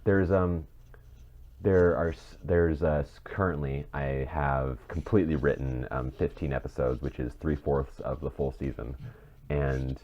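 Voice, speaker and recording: A man's voice: very deep voice, steady tone, unhurried. A man co-hosting a podcast in casual chat, rambling and often rephrasing himself as he goes. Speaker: podcast co-host and audio drama creator. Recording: dull, muffled mic with clear noise.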